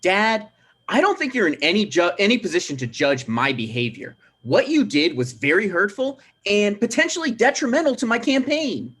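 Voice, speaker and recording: Guy doing a touchy mayor candidate, kinda high voice, all over the place, exasperated and earnest, comedy table read. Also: big pitch swings, moderate pace, fairly bright tone, husky, heavily acted. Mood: hurt, irritated. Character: touchy, aggrieved mayoral candidate, grown son.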